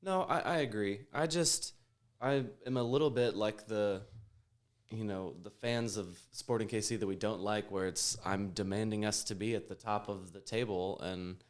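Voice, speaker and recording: American male panelist, lowish voice. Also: normal speed. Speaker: podcast panelist. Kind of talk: casual sports discussion.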